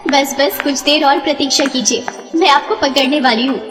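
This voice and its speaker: A woman's voice: sits high, steady tone, normal speed, big-room sound, music in the background, lightly acted. A woman voicing a playful, teasing goddess and devoted wife in a devotional story, speaking sweetly and formally. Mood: playful, eager. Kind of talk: animated devotional story dialogue.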